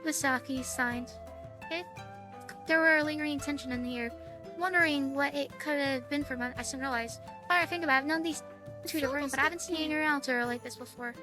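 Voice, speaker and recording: A woman doing casual gameplay commentary on a visual novel, reading its text aloud with a halting, stumbling delivery and some swearing. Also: high voice. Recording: music behind the voice. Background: music.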